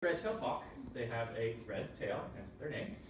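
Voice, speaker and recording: A man's voice: mid-range voice, expressive, normal speed. A man explaining how to identify birds to a group, like an instructor. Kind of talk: field lecture on birds. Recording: distant echoey recording with noise.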